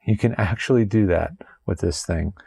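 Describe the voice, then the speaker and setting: A man's voice: low-pitched, normal speed, gravelly, slightly rough, dry recording. Chatty man testing his studio mic. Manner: relaxed, chatty, frequent mic checks and counting. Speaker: tech reviewer. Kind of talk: casual tutorial walkthrough. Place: home studio at a desk. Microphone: condenser mic on a desk arm. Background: faint computer hum.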